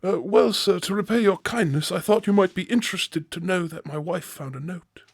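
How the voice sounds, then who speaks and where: A man's voice: high-pitched, normal speed, gravelly, husky, dry recording, clean studio sound, heavily acted. A man playing a deferential, evasive manor servant, loyal to family, with a hesitant, pleading manner in an audio drama. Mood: grateful, deferential.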